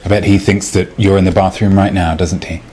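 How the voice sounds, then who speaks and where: Guy playing calm knowing date, kinda low voice, over-explains everything, sitcom skit, noisy background. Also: fairly quick, close to an everyday voice. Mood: accusing. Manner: explains at length in orderly detail. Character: knowing, wordy male date, calmly confronting his two-timer. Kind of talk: acted comedic date dialogue.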